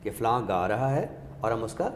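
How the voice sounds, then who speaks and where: A man's voice: lowish voice, lively intonation. Male literary expert, Pakistani accent, scholarly talk-show delivery; hall reverb, faint background noise. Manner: scholarly, deliberate, explains by question and example. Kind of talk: explanatory literary discussion.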